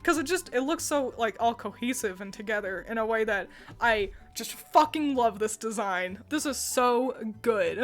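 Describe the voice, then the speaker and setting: Young woman, slightly high voice, excitable casual chat, swears, laughs a lot, soft music underneath. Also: a little slow. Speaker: co-host.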